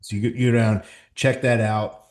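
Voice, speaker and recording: A man's voice: medium pitch, flat delivery, normal speed. A man hosting a casual interview, enthusiastic and loose, swearing now and then. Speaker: podcast host.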